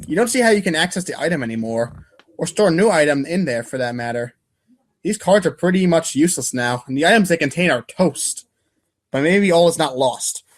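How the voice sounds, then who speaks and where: Higher-pitched man reading wry narration. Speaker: narrator of readers' theater show. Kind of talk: dramatic reading of webcomic narration.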